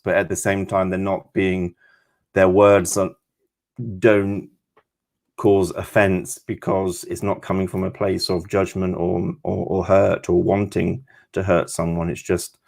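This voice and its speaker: A man who reads tarot, discussing the cards conversationally in a reflective, halting way. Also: sits low, flat delivery, vocal fry. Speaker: tarot reader.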